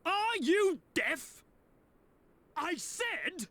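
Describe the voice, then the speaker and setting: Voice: very high voice, sing-song, drawn-out words, a bit bright, a little hiss or hum, full character voice. An actor voicing a bossy villain army commander in a fantasy game, barking imperiously. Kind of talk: scripted fantasy game acting.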